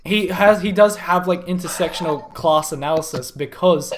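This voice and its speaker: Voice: medium pitch, moderate pace, slight background noise. A live-stream host chatting casually over an online call, laughing often and hedging with a lot of fillers and restarts. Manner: giggly, filler-heavy, hesitant.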